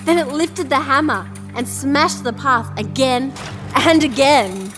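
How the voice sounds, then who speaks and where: A woman's voice: high-pitched, slow. A woman playing an earnest, idealistic and determined young princess in a fantasy audio drama, with an impatient edge. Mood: dramatic narrating. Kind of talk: full-cast audio drama. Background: music.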